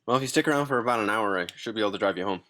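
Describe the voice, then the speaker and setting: A woman reading the part of a gruff, protective seventeen-year-old older stepbrother at a table read. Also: rumbling low, very fast, a bit bright. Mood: gruff, teasing.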